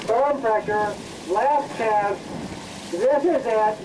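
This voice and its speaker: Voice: medium pitch, drawn-out words. A rowing caller giving short, commanding orders and counts to a crew, outdoors aboard a ship. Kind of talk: calling out commands and counts to rowers. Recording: distant voice, noisy wind and boat.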